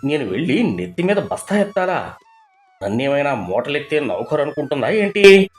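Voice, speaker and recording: A man's voice: a little high, sing-song, moderate pace. A man playing a pompous, self-important village headman in a moral story, exclamatory and grudging, over music. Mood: scornful grumbling.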